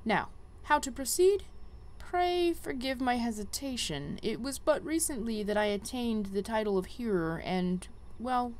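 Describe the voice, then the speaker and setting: A woman's voice: a little high, expressive, measured pace, hardly any pauses, a little mellow, slight background noise. A woman voicing an earnest, inexperienced young female conjurer from a fantasy game, polite and hesitant as she reads the quest dialogue. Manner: polite, hesitant, archaic phrasing.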